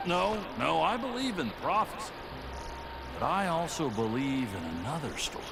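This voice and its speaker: A man playing a resigned, wistful townsman with a gravelly creak, musing unhurriedly in a video game. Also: fairly high pitch, sing-song, normal speed, heavy background noise.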